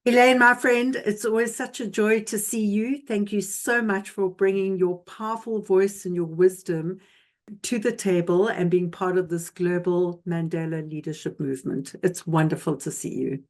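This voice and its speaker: A woman's voice: mid-range voice, fairly even intonation, normal speed. A woman from South Africa hosting a leadership interview podcast, delivering an effusive, emphatic introduction and questions with frequent fillers. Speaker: podcast host.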